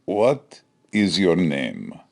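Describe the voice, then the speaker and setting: A hulking male henchman played by an actor speaks slowly in a very low voice. He is formal, obedient and menacing, a bruiser in an old-style radio comedy. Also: huge pitch swings, creaky, heavily acted. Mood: stiffly formal. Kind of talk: comedy audio drama in old radio manner.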